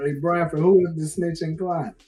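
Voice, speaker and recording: A man co-hosting a casual TV show discussion, relaxed and quick to laugh, reading out viewer comments. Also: on the high side. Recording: faint music behind.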